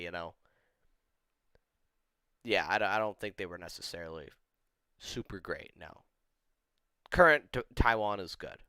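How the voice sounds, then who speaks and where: Smooth-voiced young man, rambling chat. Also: fairly low pitch, pushed and bright, no room sound, clean studio sound. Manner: rambling, opinionated, heavy use of "like". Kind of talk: casual chat.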